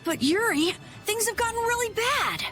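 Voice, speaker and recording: An actress playing an earnest, excitable young lady in a video game, polite and full of feeling. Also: very high voice, normal speed. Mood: urgent. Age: young adult. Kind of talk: animated video game voice acting. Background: background music.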